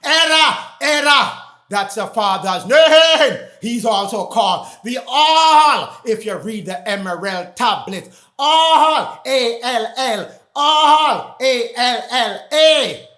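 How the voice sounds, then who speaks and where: A man delivering a fervent, confrontational sermon, emphatic and repetitive, addressing his listeners directly and often raising his voice to a shout. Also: very high voice, lively intonation, slow, hardly any pauses. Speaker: preacher. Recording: echoey, roomy sound.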